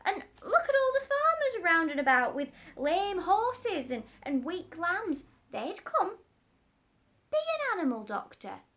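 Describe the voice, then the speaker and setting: A woman's voice: extremely high pitch, wildly swooping pitch, measured pace, a bit bright, voice pushed up, full character voice. Woman doing a rambling folksy tradesman. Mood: eager, wheedling. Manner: rambling, folksy, stumbles over words. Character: chatty working-class man, cat's meat seller.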